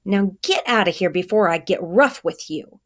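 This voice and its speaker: A woman's voice: fairly low pitch, animated, moderate pace, lightly acted. A woman voicing a stern, threatening man who guards a food line in a children's storybook, scolding in folksy, ungrammatical speech. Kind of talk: children's storybook read-aloud.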